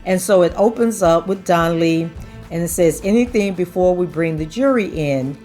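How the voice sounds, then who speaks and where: A woman with a lowish voice that is worn and hoarse, as if she is losing it. She explains legal steps in a casual, step-by-step way and reads records aloud. Faint music plays underneath. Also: normal speed, mellow. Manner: explains step by step, many "and so" links. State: losing her voice. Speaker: commentator reading court transcripts. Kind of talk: casual commentary, reading aloud.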